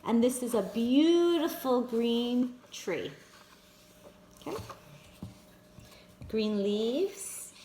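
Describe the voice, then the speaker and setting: A woman reads a picture book aloud to small children, slowly and with a bouncy, sing-song rise and fall. Her voice sits fairly high, and she keeps turning to her listeners as friends to show them the pictures. The sound carries an echo from the room. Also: expressive, warm tone, slight background noise. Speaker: children's storyteller. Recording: echoey room.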